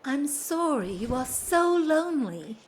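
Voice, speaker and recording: Woman playing a slow-speaking, sorrowful noble lady. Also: sits high, sing-song, a little hiss or hum. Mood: guarded, sympathetic. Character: restrained, sorrowful upper-class married lady hiding her love. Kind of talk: stage-style period radio drama acting.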